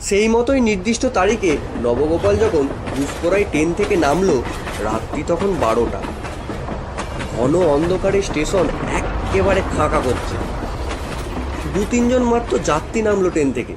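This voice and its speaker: A man narrating a scripted horror story in a measured, literary, dramatic manner. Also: high-pitched, animated, heavy background noise.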